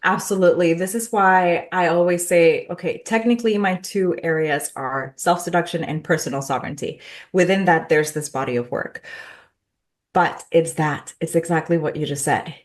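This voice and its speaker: A woman's voice: lowish voice, normal speed. A woman with a Mexican accent speaking in an interview, emphatic and repetitive, stacking up lists of phrases, sounding a little tired. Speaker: guest, self-love mentor. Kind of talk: heartfelt interview talk.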